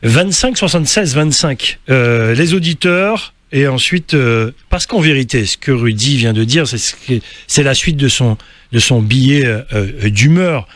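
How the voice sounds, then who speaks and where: A man hosting a call-in radio debate, lively and prompting callers quickly. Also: medium pitch, no room sound. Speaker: radio host. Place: radio studio.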